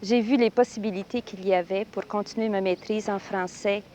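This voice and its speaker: A woman's voice: mid-range voice, fairly even intonation, a little fast, mellow, slight background noise. A woman from Alberta, a nun studying at a university, answering carefully with hesitations. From Alberta, Canada. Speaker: nun and graduate student. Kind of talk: archival radio interview answers.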